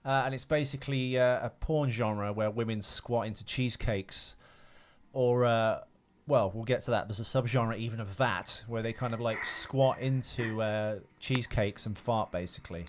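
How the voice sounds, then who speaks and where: Male podcast co-host, mid-pitched voice, rambling casual chat, crude humour. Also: normal speed. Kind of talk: casual comedic film chat.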